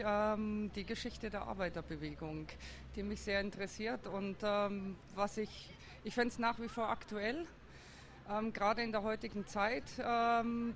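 A woman's voice: mid-range voice, slight background noise. A woman answering a street interview outdoors, thoughtful and hesitant, with many fillers as she talks about politics. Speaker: interviewee, district councillor. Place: outdoors on a busy city square.

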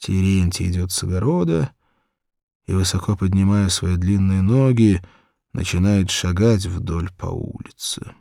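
A man narrating a children's story in an expressive scripted reading. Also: low voice, a little slow, creaky, no room sound, studio quality. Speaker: audiobook narrator.

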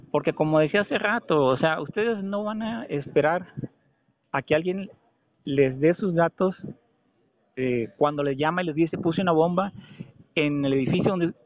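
A man with a Mexican accent argues his case over a narrow telephone line. His voice sits a little high. He presses his point with pointed questions, halting and then repeating himself for emphasis. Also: dry recording. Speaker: caller. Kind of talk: phone complaint, argumentative questioning. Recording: narrow, tinny telephone call recording.

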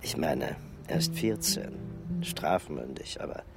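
A man's voice: very deep voice, expressive, a little slow, gravelly, loud music behind the voice, heavily acted. A man playing a wry, easygoing police officer in a German crime radio drama, speaking in a colloquial, musing way. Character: dry, easygoing male police colleague, wry.

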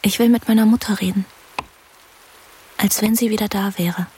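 The inner voice of a sorrowful young daughter spoken by a woman in a radio play. It is medium in pitch, intimate and introspective, with poetic phrasing. A faint hiss of rain sits behind it. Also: steady tone, normal speed, mellow, lightly acted. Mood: longing. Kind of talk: radio drama monologue.

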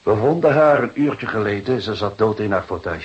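Male detective played by an actor, radio drama: lowish voice, measured, probing, dryly ironic, slightly dull recording. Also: animated, close to an everyday voice. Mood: formal, grave. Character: shrewd, dry, measured male police detective. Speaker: police detective. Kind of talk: Dutch radio drama acting.

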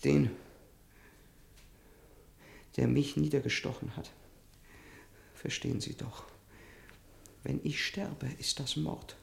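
An actor playing a gravely wounded, stubborn and vengeful man in a German radio drama, weak and in pain, with a breathy, strained, halting delivery. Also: medium pitch, steady tone. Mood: bitter resolve. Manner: halting, labored, resolute.

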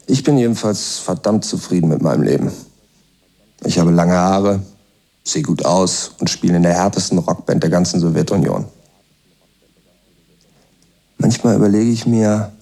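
An actor playing a self-satisfied young Soviet rock musician in a radio drama, talking in blunt, offhand slang with a gravelly, husky voice. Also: male voice, sits low, warm tone. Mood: cocky, satisfied. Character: self-satisfied long-haired Soviet rock musician, laconic rebel son. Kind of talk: radio drama monologue, colloquial.

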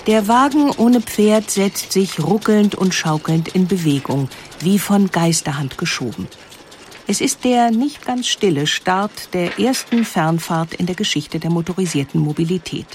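A woman narrating a scripted historical story in a measured, articulate way. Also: lowish voice, animated, a little hiss or hum. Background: music under the voice.

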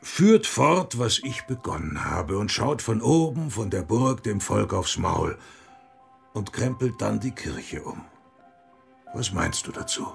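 An actor in a German radio play playing a defiant, wry monk and church reformer, gravelly and musing, halting for effect. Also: male voice, on the low side, very animated, soft background music, full character voice. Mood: sly, musing.

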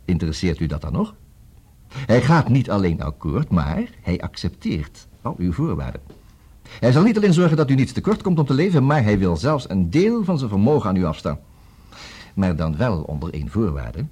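An actor with a Netherlands Dutch accent playing a busy, wry, smooth-talking divorce lawyer in a formal, fussy manner for a 1960s radio comedy. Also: male voice, fairly low pitch, big pitch swings, moderate pace, mellow. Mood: persuasive. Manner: formal, fussy, hesitant interjections and repeated words.

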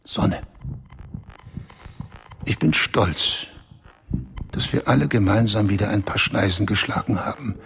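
A man's voice: lowish voice, unhurried, creaky, pauses often, a bit bright, a little hiss or hum. A man in a radio drama playing a self-satisfied bank chief who speechifies. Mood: smug. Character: smug male bank chief, proud self-made man.

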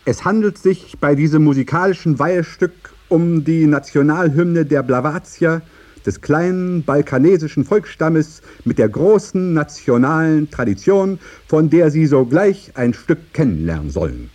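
Radio announcer, highly animated pitch, mellow tone, ceremonious, mock-solemn, scripted 1950s style. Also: male voice, normal speed. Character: solemn male announcer introducing a satirical play.

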